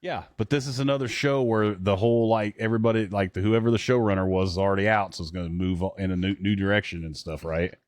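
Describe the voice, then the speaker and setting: A man's voice: lowish voice, fairly even intonation, quick pace, few pauses, a little mellow, dry recording. A man chatting casually as a podcast co-host, rambling and bluntly swearing as he reacts to what he watches. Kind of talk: casual chat reacting to trailers.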